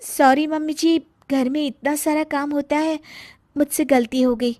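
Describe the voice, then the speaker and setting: Woman acting a very high, emotional young daughter-in-law. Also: fairly even intonation, unhurried, darkish tone, no room sound, clean studio sound. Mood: hurt, protesting. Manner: pleading, respectful, emotional. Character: sweet, hurt young daughter-in-law with secret powers. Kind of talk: Hindi moral-story cartoon acting.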